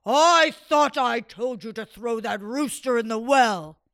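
A woman voicing a huge, greedy male sultan in a storybook tale, giving gruff commands in a low voice. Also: takes it slowly, no room sound, studio quality. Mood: angry.

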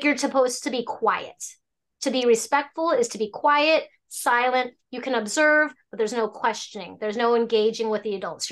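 A chatty woman in her forties tells stories in a loose, rambling way, full of restarts and fillers. Her voice sits a little high. She talks casually as a guest on an interview podcast. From born in Hong Kong, raised in Alaska. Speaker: tea shop owner, guest.

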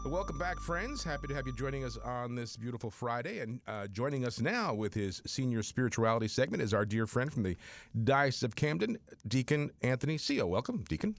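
Quick-talking older male radio host. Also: medium pitch, sing-song, faint music behind. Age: 60s. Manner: friendly, affirming, quick backchannels. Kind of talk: radio talk show hosting.

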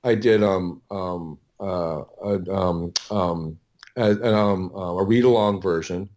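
Halting, low-pitched middle-aged man. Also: a little mellow. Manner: halting, repeats and restarts words, rambling. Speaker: audio producer, interview guest. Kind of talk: casual interview storytelling.

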